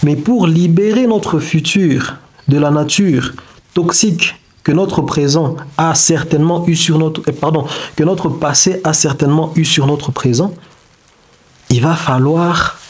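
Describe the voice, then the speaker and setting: Man giving a halting motivational talk. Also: on the high side, breathy edge. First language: not French. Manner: halting, searching for words with many restarts. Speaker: self-development video creator. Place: indoors beside a whiteboard diagram.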